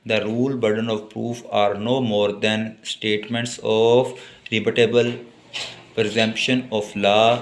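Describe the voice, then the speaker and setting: A man with a Pakistani accent giving an exam-focused law lecture, reading notes deliberately and explaining them informally with repetition. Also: lowish voice, a bit bright, slight background noise. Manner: reads English passages slowly and deliberately, then explains informally with repetition. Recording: echoey room.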